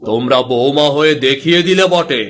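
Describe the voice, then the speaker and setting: A man's voice: a little high, fairly quick, fairly bright tone, lightly acted. A man playing a kindly village healer in a cartoon, authoritative and reassuring, with an advisory manner. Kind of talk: animated moral story acting.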